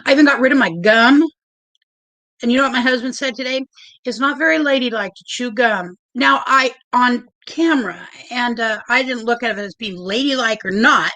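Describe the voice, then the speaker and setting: Chatty high bright-voiced woman host. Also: moderate pace. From Oregon. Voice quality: loud, big voice. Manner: chatty, nervous, effusive. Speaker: livestream host. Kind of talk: casual livestream chat.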